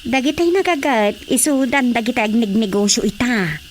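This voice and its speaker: An actress playing a gossipy village woman in a radio comedy, chatty and expressive, with an Ilocano accent. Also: fairly high pitch, normal speed, a little hiss or hum, lightly acted. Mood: chatty, amused.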